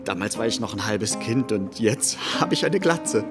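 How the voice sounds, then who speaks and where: Lively, nostalgic old comrade. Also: male voice, fairly low pitch, normal speed, over music. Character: nostalgic, genial middle-aged old comrade, male. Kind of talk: radio drama acting.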